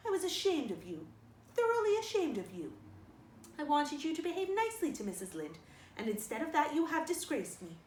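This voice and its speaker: A stern, upright middle-aged guardian from a storybook, voiced by a woman reader. She scolds in a measured, firm way with strongly moving intonation. The recording is roomy, with slight noise. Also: fairly high pitch. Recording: roomy sound with faint noise.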